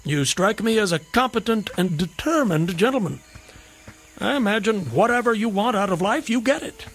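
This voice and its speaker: A wry, needling gentleman in a radio drama. His voice is high, gravelly and husky, and he dresses sly questions in ornate, theatrical courtesy. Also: animated, moderate pace, full character voice. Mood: amused, probing.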